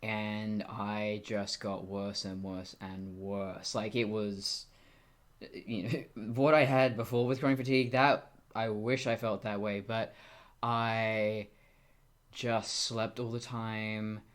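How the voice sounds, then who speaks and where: A young woman with a deep voice for a woman, talking casually and candidly to camera in a wry, self-interrupting way. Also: steady tone, takes it slowly. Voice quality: deep voice for a woman, deepened by testosterone. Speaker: vlogger. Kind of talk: casual personal monologue to camera.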